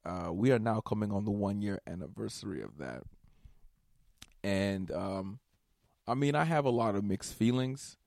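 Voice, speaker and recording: A man's voice: lowish voice, normal speed, gravelly, no echo, studio quality. A young man hosting a solo podcast, rambling casually and swearing, tired from staying up all night. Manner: rambling, profane, animated asides. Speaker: podcast host.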